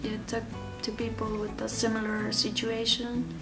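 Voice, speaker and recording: A woman in her thirties from Ecuador telling her own story in an interview, speaking haltingly with many fillers and restarts. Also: fairly high pitch, monotone, distant mic, over loud music. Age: 30s. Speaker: interview guest.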